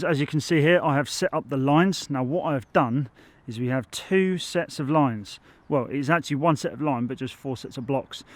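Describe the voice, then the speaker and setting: A man with a sore throat, chatting casually while he teaches bricklaying on site. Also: on the high side. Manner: chatty, rambling, self-admittedly waffles. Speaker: bricklayer teaching on his channel. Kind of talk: casual how-to tutorial.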